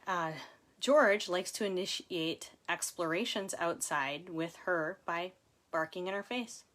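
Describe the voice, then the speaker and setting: Informal woman author, slightly low voice. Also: measured pace, a bit bright. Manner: informal, chatty, with asides to viewers. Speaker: author reading from her book. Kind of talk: casual livestream book reading and chat.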